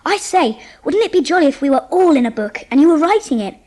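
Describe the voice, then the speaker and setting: A woman's voice: sits high, expressive, fast talker, a little mellow. A woman playing an eager, earnest Edwardian schoolboy in a dramatised audiobook.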